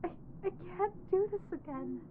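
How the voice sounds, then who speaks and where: A woman voicing a lovestruck, clingy undead corpse bride in an ASMR audio roleplay, soft, earnest and pleading. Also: very high voice, lively intonation, moderate pace, phone-quality sound, background noise. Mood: tearful pleading.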